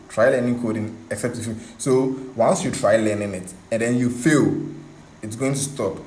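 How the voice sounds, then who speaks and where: A man's voice: fairly low pitch, a little mellow. A man hosting a coding tutorial in a casual, conversational way, repeating himself for emphasis. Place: indoor room, voice over screen recording. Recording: echoey room, background hiss.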